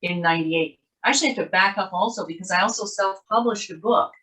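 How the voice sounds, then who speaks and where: An older woman from Canada talks through her writing life as a guest on an interview show. She rambles pleasantly, doubling back with asides as she goes. Her voice sits at an ordinary middle pitch, heard over a video call. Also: fast talker, fairly bright tone, low-quality recording. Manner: chatty, rambling storyteller with asides. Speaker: guest author. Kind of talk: casual interview chat.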